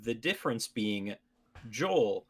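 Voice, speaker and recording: A man's voice: fairly low pitch, very animated, takes it slowly. A man co-hosting a podcast, debating casually and insistently clarifying his points. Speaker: podcast co-host.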